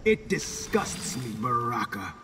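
An actor playing an arrogant, scornful demigod usurper in a video game, with a haughty delivery. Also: male voice, medium pitch, very animated, takes it slowly, loud music behind the voice. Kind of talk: video game character dialogue. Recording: distant, long reverb, heavy noise.

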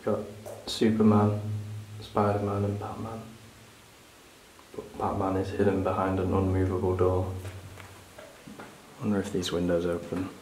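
British man, very low voice, flat delivery, echoey empty rooms, faint hiss. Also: a little slow, long pauses. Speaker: urban explorer host. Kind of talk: exploring walkthrough with scripted narration. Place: abandoned building, indoors.

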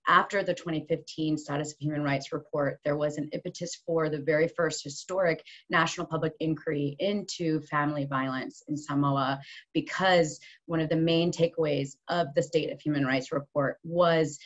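A woman's voice: low voice, fairly even intonation, moderate pace. A woman human rights lawyer, giving an earnest conference talk full of ums and restarts over a video call. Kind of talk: online conference presentation. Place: office room at home base, rain on the roof. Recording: slightly dull video call audio.

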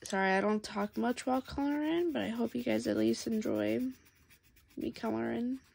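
A woman's voice: a little high, vocal fry, breathy edge. A woman with a cold, sounding stuffed up, chatting casually to her viewers while she colors. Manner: soft, unhurried, chatty with pauses. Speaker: coloring channel host. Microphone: overhead camera on hands.

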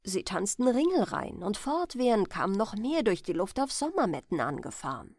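A woman storyteller reading a bedtime fairy tale as scripted narration, with expressive, careful phrasing. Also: mid-range voice, moderate pace, no room sound.

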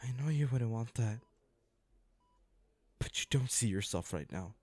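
A man's voice: mid-range voice, animated, measured pace, darkish tone, dry recording. A man acting a hot-tempered but caring boyfriend in an intimate audio roleplay, with naturalistic, sweary delivery. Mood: remorseful, grieving. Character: hot-tempered boyfriend, stressed then remorseful.

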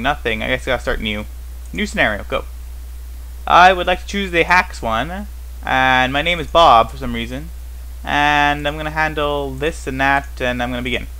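A man's voice: fairly high pitch, sharp tone, poor audio. A man giving casual, unscripted gameplay commentary as host, rambling and thinking aloud with wry, puzzled questions to himself. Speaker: host of a gaming series.

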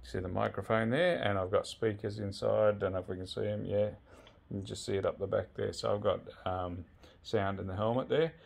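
A man's voice: fairly low pitch, fairly even intonation, a little fast, gravelly. An Australian man giving a casual, laid-back how-to walkthrough in a rambling, colloquial way. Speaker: presenter of a motorcycle gear video. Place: indoors in a home garage.

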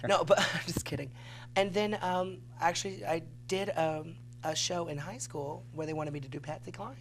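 A man's voice: on the high side, normal speed. A young man from Texas, a drag performer, camp and playful as a talk show guest in a small studio with a faint hum.

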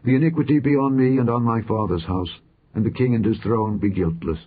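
A man reading aloud puts on a higher voice for a grieving widow who pleads before a king. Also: a little fast, husky, close to an everyday voice. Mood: pleading. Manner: raised pitch, earnest pleading. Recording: muffled phone-like audio.

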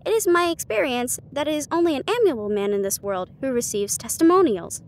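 A woman voicing a confident, teasing male detective in a storybook reading, shrewd and theatrical, with deliberate deductions. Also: high-pitched, lively intonation, slight background noise. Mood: deducing.

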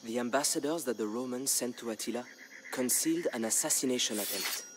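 A man narrating a historical tale as a dramatic scripted story, in a measured, grave storyteller's delivery. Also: mid-range voice, noisy background. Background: background music.

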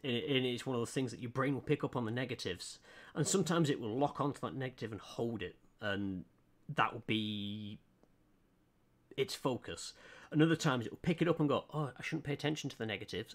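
A man from the United Kingdom chatting earnestly and hesitantly on a live stream while drawing, with many pauses and restarts. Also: mid-range voice.

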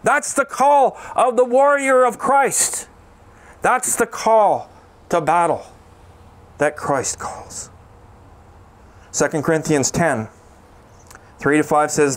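A man's voice: on the high side, slow, pauses often. A man in his forties, a pastor, preaching an earnest sermon into a handheld microphone on a church stage, sometimes with small restarts. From Canada. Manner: earnest preaching, sings a chorus, gestures while speaking.

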